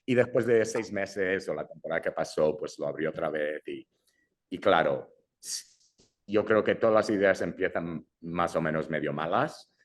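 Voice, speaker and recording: Really low-voiced young guy, chatty filmmaker, keeps tossing in english fillers. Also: normal speed. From Brazil, raised in Madrid. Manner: sprinkles English fillers, hesitant restarts. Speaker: filmmaker guest. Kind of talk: casual interview chat.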